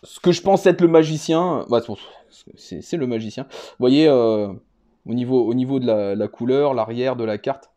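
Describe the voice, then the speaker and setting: A man with a relaxed, mellow voice at an average pitch presents like a casual home vlogger. He talks off the cuff, hesitating and circling back over his words as he rambles along. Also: normal speed. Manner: hesitant, rambling, often repeating himself. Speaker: vlogger presenting a card collection. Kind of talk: casual unscripted show-and-tell.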